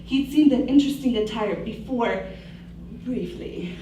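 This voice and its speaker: Woman co-host, amused narration, slightly high voice, echoey room, distant mic. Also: a little slow, dark tone, slight background noise. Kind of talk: dramatic book reading on a live show.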